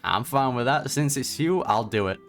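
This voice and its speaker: Woman doing chill slangy game reaction, really low voice, faint music in the background. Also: lively intonation, normal speed. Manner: informal, slangy. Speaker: reaction video host. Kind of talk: casual game reaction commentary.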